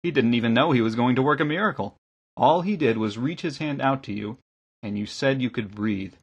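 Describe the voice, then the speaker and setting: A man's voice: medium pitch, a little fast, slightly rough. A man voicing a kindly, eager engineer and lay preacher in an audiobook, with a hearty, welcoming manner. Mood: earnest. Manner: hearty, welcoming, gently correcting. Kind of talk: audiobook character acting.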